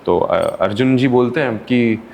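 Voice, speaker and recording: A man's voice: mid-range voice, a little slow, vocal fry. Man telling stories animatedly, faint hiss. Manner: animated, acts out quoted people with voices. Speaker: interview guest, counsellor. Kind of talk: casual storytelling in conversation. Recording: faint background noise.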